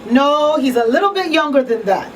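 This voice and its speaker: A woman's voice: high-pitched, animated, moderate pace, far from the mic. A woman hosting a live radio talk show, chatting with listeners in a small studio. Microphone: studio microphone on boom arm. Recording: off-mic room sound, faint noise.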